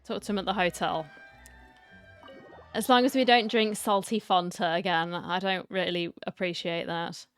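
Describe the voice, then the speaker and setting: A woman's voice: on the low side, steady tone, normal speed, smooth, soft background music. A woman giving casual, chatty, irreverent commentary as she plays through a game. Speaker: game streamer.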